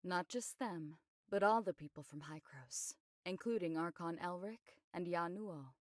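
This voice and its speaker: A voice actress playing a composed, discreet female investigator in an anime game, measured and matter-of-fact as she explains. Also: fairly low pitch, no echo, clean studio sound, close to an everyday voice. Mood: serious, discreet. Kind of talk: scripted anime game voice acting.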